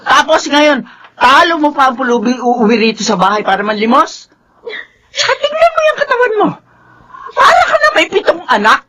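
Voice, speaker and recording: A stern, nagging middle-aged mother in a radio drama. Her voice is bright and forceful and swings up and down as she scolds. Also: high-pitched, very animated, normal speed, exaggerated voice. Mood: exasperated, scolding. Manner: scolding, suspicious, sharp.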